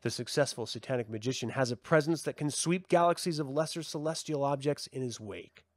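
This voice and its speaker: A man reading a formal essay aloud as a host, with measured, emphatic delivery. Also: medium pitch, no room sound, studio quality. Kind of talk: expressive scripted essay reading.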